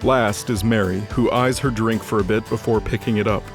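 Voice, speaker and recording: Lowish-voiced man narrating a story. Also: fairly even intonation, fairly quick, over music.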